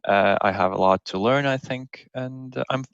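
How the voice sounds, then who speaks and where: A man's voice: medium pitch, moderate pace, clear, resonant tone, no room sound, dull audio. A man from Latvia, a trainee debate judge, introducing himself hesitantly and modestly on a video call. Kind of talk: brief self-introduction. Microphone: video call microphone.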